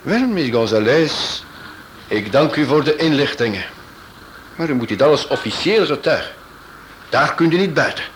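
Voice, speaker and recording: An actor playing a courteous detective who speaks politely in a radio play. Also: male voice, medium pitch, expressive, moderate pace, long pauses, fairly bright tone, natural voice. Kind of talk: vintage radio play acting. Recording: background noise.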